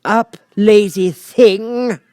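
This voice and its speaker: A man voicing a savage, bossy storybook Queen who shouts orders in a drawn-out, imperious way. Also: high-pitched, steady tone, smooth, no room sound, pitched above the performer's own voice. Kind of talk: storybook character voice.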